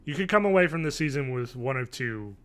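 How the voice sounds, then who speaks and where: Bright-voiced man, hesitant podcast co-host. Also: mid-range voice, animated, fairly quick. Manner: hesitant, meandering, self-correcting. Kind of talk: casual podcast review. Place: living room at home, indoors. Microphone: video call, wearing headphones.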